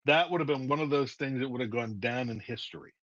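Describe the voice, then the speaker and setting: A man, a writer interviewed as guest, telling stories in a lively way and acting out scenes. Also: mid-range voice, fast, dry recording, muffled recording. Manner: lively, acts out scenes with voices. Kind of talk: animated storytelling in a casual interview.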